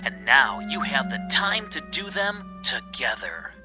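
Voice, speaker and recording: An enticing TV commercial announcer in a radio drama. His mid-pitched voice sells in a polished way, with music clearly underneath. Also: sing-song, measured pace. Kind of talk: advertising read in radio drama.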